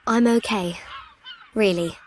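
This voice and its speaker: A woman voicing a gentle, earnest young heroine in a video game, with a soft-hearted, pleading manner. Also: medium pitch, slow pace, a little hiss or hum, natural voice. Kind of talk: video game cutscene acting.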